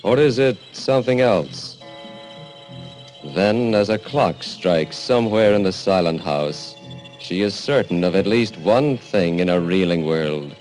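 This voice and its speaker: An actor playing a portentous, theatrical narrator of murder mysteries in 1940s radio style. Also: male voice, low-pitched, measured pace, a little mellow, low-quality recording. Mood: ominous. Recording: vintage radio broadcast with music. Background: dramatic music.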